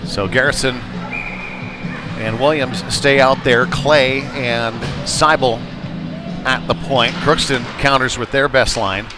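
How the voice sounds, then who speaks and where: A man's voice: mid-range voice, steady tone, slow, over music. A man doing casual, conversational live play-by-play commentary of a youth hockey game from a rink, on a headset. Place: chilly ice rink.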